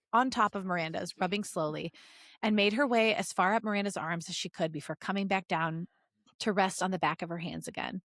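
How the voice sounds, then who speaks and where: A woman's voice: fairly low pitch, no echo. Playful woman podcaster, dry close sound. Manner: playful, giggly. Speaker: podcast co-host reading fan fiction aloud. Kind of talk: expressive story reading mixed with casual banter. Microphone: close podcast microphone.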